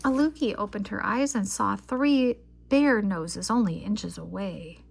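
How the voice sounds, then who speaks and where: A woman reading a children's picture book aloud as an expressive storyteller. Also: mid-range voice, a little slow, gravelly, husky.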